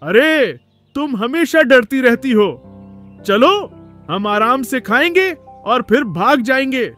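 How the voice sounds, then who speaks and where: A man voicing a cheerful, foolish male donkey who loves to sing, in a boastful, excitable cartoon manner. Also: extremely high pitch, slow, faint music behind, exaggerated voice. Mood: eager. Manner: boastful, excitable, sings loudly off-key. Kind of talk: cartoon voice acting.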